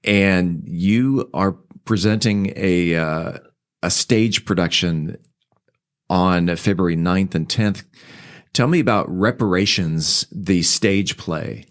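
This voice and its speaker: A male podcast host speaks in a fairly low voice. The recording is dry studio sound with very little room on it, and he presents as the one running the show. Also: slow, no echo. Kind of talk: scripted promo and interview hosting.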